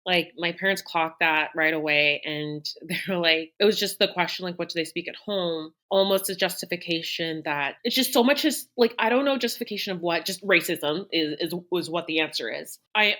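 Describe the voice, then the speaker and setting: A woman co-hosting a casual podcast chat, speaking quickly and reflectively. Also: fairly low pitch, sharp tone. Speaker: podcast co-host.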